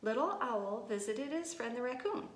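A woman, a preschool teacher, reading aloud and talking to young children with simple, expressive phrasing. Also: on the high side, normal speed. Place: indoor room with tiled wall. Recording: echoey room with noticeable hiss.

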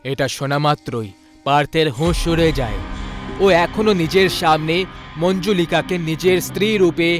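A man narrating a scripted ghost story in a dramatic, suspenseful manner with pauses. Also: a little high. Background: faint background music.

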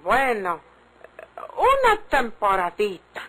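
Slow-talking rustic Mexican ranch girl. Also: a little high, huge pitch swings, fairly bright tone, full character voice, low-quality recording. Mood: reluctant. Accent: rural northern Mexican. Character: reluctant, stubborn young ranch girl. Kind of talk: old-time radio drama acting. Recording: faint noise, old radio serial.

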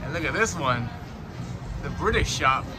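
Guy in his 40s doing a walking tour vlog, joking around, phone at arm's length outside, loud music playing behind. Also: medium pitch, expressive, moderate pace. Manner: chatty, jokey, talks to viewers while walking. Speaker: travel vlogger. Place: outdoors at a tourist shopping street. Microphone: handheld camera at arm's length.

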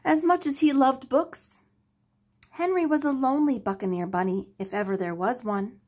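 A woman narrating a children's story aloud with expressive, dramatic delivery. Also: sits high, moderate pace. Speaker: storybook reader.

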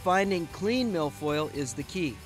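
Higher-pitched American man narrating fishing show. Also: animated, unhurried, music in the background. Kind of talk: scripted narration and on-the-water chat.

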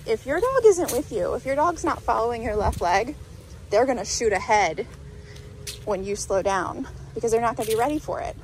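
Woman dog trainer, a touch high-pitched, teaching on the move outdoors with clear, chatty explanations; noisy street recording. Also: expressive, normal speed. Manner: talking while walking a dog. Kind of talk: instructional walk-and-talk. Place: outdoors by a creek in a town center.

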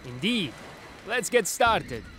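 An actor playing a proud, headstrong young military captain from an ancient myth, earnest and dramatic, in a video game. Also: male voice, high-pitched, very animated, slow pace, bright, forceful tone, slight background noise, exaggerated voice. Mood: confident, resolute.

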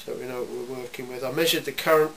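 A man giving an informal, matter-of-fact hands-on demonstration in a workshop, speaking in a measured way. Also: mid-range voice, fairly even intonation, creaky, a bit bright. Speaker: welding demonstrator. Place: indoor cluttered workshop. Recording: hissy, noisy amateur camera sound.

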